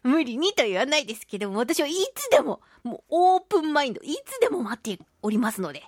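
A woman's voice: sits high, very animated, fast talker, no echo, clean studio sound. A woman hosting a casual radio talk show, chatting expressively and playfully with listeners as she reads their mail. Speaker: radio show host and voice actress.

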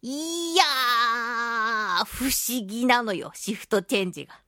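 A woman hosting a casual solo radio chat, talking in a playful, animated way with touches of mock-grand phrasing. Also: on the high side, slow pace, dry recording, studio quality. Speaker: radio show host and voice actress.

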